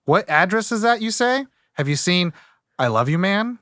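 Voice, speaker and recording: Bright-voiced joking man. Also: high-pitched, lively intonation, normal speed, no room sound, studio quality. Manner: joking, self-deprecating storyteller. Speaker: podcast co-host. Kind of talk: casual chat.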